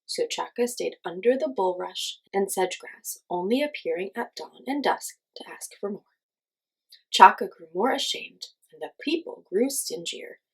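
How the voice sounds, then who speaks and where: Lively, husky woman storyteller. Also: mid-range voice, normal speed, poor audio. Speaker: host and storyteller for children. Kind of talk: expressive read-aloud storytelling for children.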